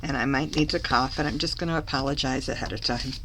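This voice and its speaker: A woman recovering from a cough, slightly hoarse, chatting through a papercraft tutorial as she works and correcting herself along the way. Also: a bass voice, fast talker, noisy background. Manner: chatty, self-correcting, talks while working. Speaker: papercraft demonstrator. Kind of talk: live craft tutorial.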